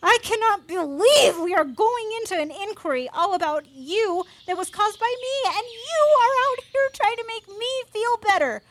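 A woman's voice: very high voice, animated, normal speed, hardly any pauses, noisy background. An actress playing an anxious, guilt-ridden woman in an audio drama, speaking earnestly and with exasperated emotion. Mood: distraught. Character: anxious, guilt-ridden woman, abuse survivor facing an inquiry. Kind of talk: fiction podcast audio drama acting.

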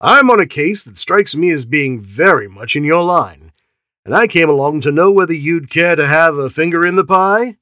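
A man voicing a hearty, condescending male police inspector who talks loudly and persuasively. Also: a little high, animated, normal speed, dry recording, pitched above the performer's own voice. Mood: bluff.

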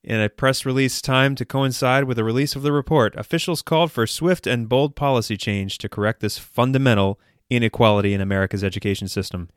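A man's voice: medium pitch, no room sound, studio quality. A man hosting a podcast, reading a satirical news report in a mock-serious newsreader delivery. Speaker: podcast host.

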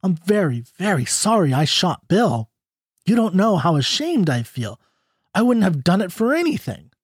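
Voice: medium pitch, very animated, moderate pace, husky, dry recording, studio quality, pitched above the performer's own voice. A reader voicing a young ranch woman who sounds sweet, pleading and girlish.

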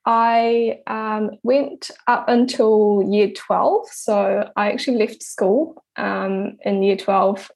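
A young woman in her twenties with a New Zealand accent, an artist answering interview questions in a relaxed, hesitant way with many pauses and restarts. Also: medium pitch, vocal fry. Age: 20s. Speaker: guest, artist.

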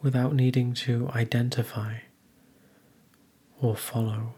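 A man leading a guided meditation, speaking gently in measured phrases with long pauses. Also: medium pitch, flat delivery, husky. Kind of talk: guided meditation, soothing instruction.

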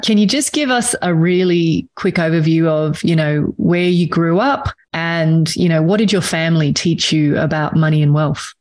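Low-voiced woman podcast host. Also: normal speed, few pauses, darkish tone. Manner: direct, asks follow-up questions. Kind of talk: interview host.

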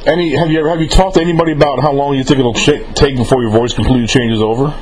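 A man's voice: mid-range voice, fairly even intonation, racing, crowd noise, poor audio. A man hosting a casual interview, informal and rambling, often rephrasing his questions as he goes. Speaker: interviewer relaying fan questions.

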